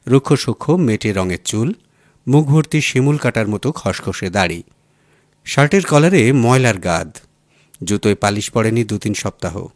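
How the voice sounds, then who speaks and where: Man reading a story aloud, lively intonation. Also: on the low side, normal speed, no room sound, clean studio sound. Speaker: audiobook story reader. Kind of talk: expressive literary story narration.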